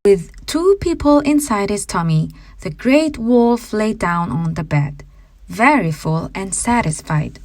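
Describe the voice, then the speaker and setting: Woman narrating fairy tales, slow and lively. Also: medium pitch, dry recording. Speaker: audiobook narrator. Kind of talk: scripted children's fairy tale narration.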